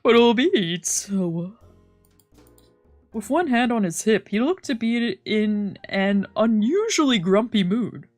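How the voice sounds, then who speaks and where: A woman's voice: a little high, animated, a little slow, few pauses, a little mellow, soft background music. Woman narrating a visual novel aloud. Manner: plain narration with playful asides. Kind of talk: reading game narration aloud.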